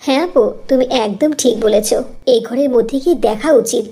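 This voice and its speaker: A woman voicing a caring, eager young orphan girl in a fairy tale cartoon, with an earnest, expressive delivery. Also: a little high, fairly quick, breathy edge. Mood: amazed, curious.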